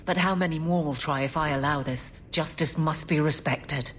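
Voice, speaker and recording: A woman playing a stern, dutiful female city guard captain in a video game, speaking in an earnest, firm, measured way. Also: sits low, a little hiss or hum, lightly acted. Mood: dutiful, concerned.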